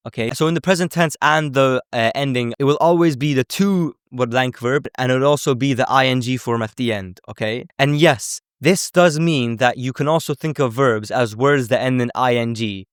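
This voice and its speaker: A man's voice: medium pitch, moderate pace, hardly any pauses, clear, resonant tone, no echo, studio quality. A man giving an informal language lesson in a conversational way, often checking in with short tag questions. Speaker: language teacher.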